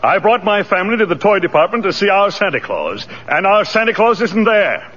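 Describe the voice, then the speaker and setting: A man playing a forceful, commanding department store boss in a 1940s radio drama, on a muffled old recording. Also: high voice, sing-song, brisk, a little hiss or hum. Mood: angry, commanding. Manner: forceful, peremptory.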